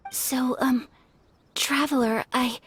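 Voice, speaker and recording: A woman playing a shy, earnest young noblewoman in a fantasy game, speaking in a formal, gentle and slightly hesitant way. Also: high-pitched, fairly even intonation, takes it slowly, husky, full character voice. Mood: nervous, shy. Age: young adult. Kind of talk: dramatic fantasy game dialogue. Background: soft game music.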